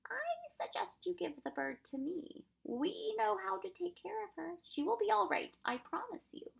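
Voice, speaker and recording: Lady doing a pompous fussy palace official guy, way up high, swoopy and snappy, storybook style. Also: sing-song, slow, vocal fry, sharp tone, full character voice, low-quality recording. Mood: quieter, reassuring. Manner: snappish, pompous, emphatic scolding. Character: tall, fussy, exasperated male courtier creature, officious.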